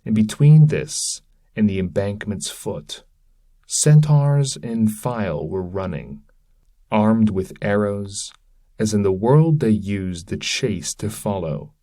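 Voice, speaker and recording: A man reading narrative verse aloud in a measured, solemn manner, as a scripted dramatic recitation. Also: lowish voice, lively intonation, mellow. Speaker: reader.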